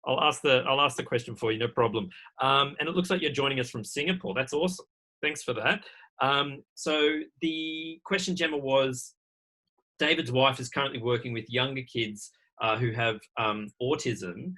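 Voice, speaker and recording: Guy hosting an online q&a, pretty bright voice, informal, kinda halting, on a video call. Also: medium pitch. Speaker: moderator of an online panel. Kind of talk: hosting a live webinar Q&A.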